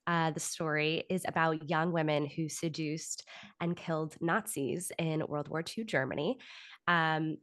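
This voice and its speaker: A woman's voice: low voice, no room sound, clean studio sound. A woman speaking as an interview guest, explaining her own creative work conversationally with loose asides. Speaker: podcast guest, writer and audio drama producer.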